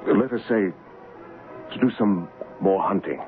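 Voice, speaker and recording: A man's voice: lowish voice, measured pace, natural voice. An actor playing a brave, courtly adventurer who poses as a king, composed and earnest in a radio drama. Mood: guarded, grave. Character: brave gallant adventurer posing as a king, devoted lover. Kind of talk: radio drama acting and first-person narration. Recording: dull 1970s radio broadcast, music behind.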